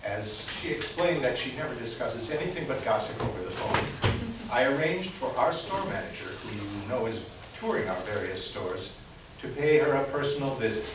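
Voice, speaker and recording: A man's voice: medium pitch, animated, moderate pace, natural voice. A man playing a smug, officious middle-aged complaints officer, reading his formal, condescending letter aloud in staged reader's theater. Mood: pompous formality. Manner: formal, pompous, pointedly condescending. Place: indoor stage presentation before an audience. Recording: echoey hall from far off, dull, faint hiss.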